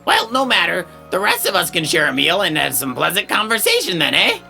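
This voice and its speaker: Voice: medium pitch, huge pitch swings, brisk, gravelly, pushed and bright, full character voice. A performer voicing a clumsy, cheerful professor in a video game, with jovial, old-fashioned genteel phrasing over music. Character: clumsy, cheerful, courteous scholar professor, adult man. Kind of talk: voiced video game dialogue.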